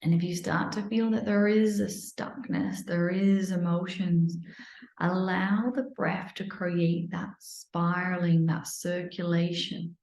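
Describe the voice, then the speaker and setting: A woman leads a guided meditation in a soothing, unhurried manner, slipping at times into near-whispers. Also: fairly low pitch, steady tone, vocal fry, a little mellow. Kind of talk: guided meditation, improvised live. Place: indoor room, live online video session. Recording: roomy, echoey sound.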